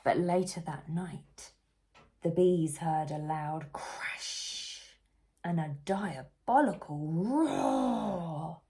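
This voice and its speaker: A woman reading a picture book aloud for young children in an animated, unhurried way, putting questions to the listener. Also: fairly low pitch. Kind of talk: expressive storybook read-aloud for young children.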